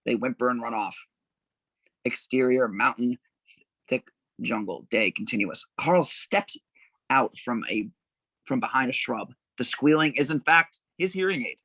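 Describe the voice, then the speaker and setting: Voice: mid-range voice, a little slow, fairly bright tone, no echo, low-quality recording. A narrator reading out scene descriptions and stage directions at an informal script table read.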